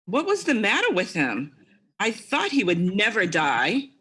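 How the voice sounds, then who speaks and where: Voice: mid-range voice, huge pitch swings, measured pace, sharp tone, husky, exaggerated voice. A player doing a callous gossiping townsman. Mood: flippant. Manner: flippant, mocking.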